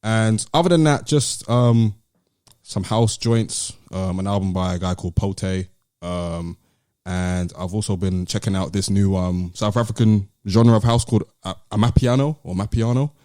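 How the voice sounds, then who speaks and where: A man's voice: on the low side, moderate pace, warm tone, no room sound, clean studio sound. A man with a British London accent, hosting a podcast and casually discussing music with friends. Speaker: podcast host.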